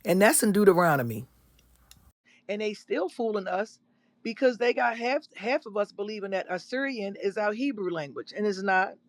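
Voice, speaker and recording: A man giving casual vlog commentary in a preachy way, quoting scripture with drawn-out asides. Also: sits high, expressive, no room sound. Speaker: vlogger.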